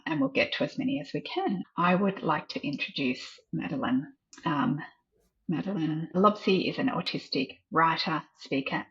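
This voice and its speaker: A woman's voice: lowish voice, breathy edge. A woman hosting an online interview and introducing her guest, then asking reflective questions over a video call. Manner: warm welcoming introductions, asks reflective questions. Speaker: host and community founder. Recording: dull lo-fi video call audio.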